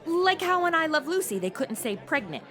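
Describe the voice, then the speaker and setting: A woman's voice: high voice, big pitch swings, normal speed, pushed and bright, faint music behind, exaggerated voice. An actress playing a spoiled modern city woman stuck in the Old West, sarcastic, blunt and complaining, in a comedy audio drama. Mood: mocking.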